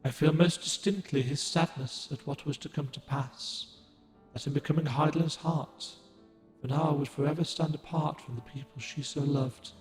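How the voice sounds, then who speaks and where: An ancient moon guardian spirit from a game speaks in formal, old-fashioned phrases, gentle and measured, as a man voices him. His voice sits slightly high for a man. A long, roomy echo trails every line. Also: steady tone, over music, lightly acted. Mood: solemn. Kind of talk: game cutscene dialogue.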